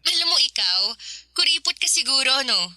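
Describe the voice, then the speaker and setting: A woman's voice: high voice, sing-song, brisk, pushed and bright, heavily acted. A woman acting a bubbly, teasing, affectionate young working woman in a Filipino radio romance drama, with a Filipino accent.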